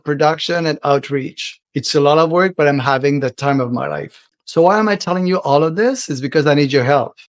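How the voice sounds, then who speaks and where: Earnest French Canadian man, higher voice. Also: no echo, clean studio sound. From Quebec, Canada. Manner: earnest and heartfelt, slows down for emphasis. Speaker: podcast host. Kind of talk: solo podcast monologue.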